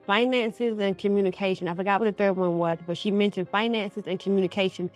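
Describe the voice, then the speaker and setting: A woman's voice: mid-range voice, fairly even intonation, quick pace, darkish tone, soft background music. A woman hosting a conversational interview show, talking in a folksy, affirming way and addressing listeners directly. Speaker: radio show host.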